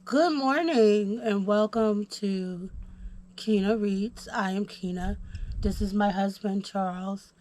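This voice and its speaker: A woman's voice: mid-range voice, slow pace, faint music behind. A woman from Houston, hosting a casual podcast chat while a little under the weather, with plenty of ums. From Houston, Texas. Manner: relaxed, filler-heavy, reads from notes. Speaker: podcast host. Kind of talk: casual conversation.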